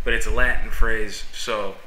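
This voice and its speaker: A man's voice: fairly low pitch, steady tone, moderate pace, sharp tone, slight background noise. A young man, a youth pastor, rambling casually in a vlog while stuffy and sniffling from a cold, with lots of fillers and self-mocking laughs. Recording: echoey room.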